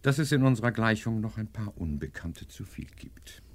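An actor playing an imperious, pedantic professor detective in a German crime radio play, questioning curtly and with great self-assurance. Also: male voice, lowish voice, big pitch swings, normal speed. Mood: pedantic, assured.

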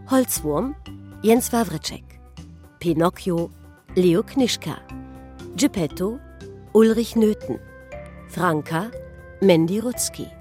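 A woman announcer reads scripted radio credits. Her voice sits fairly low and she goes slowly, name by name, with soft music behind her.

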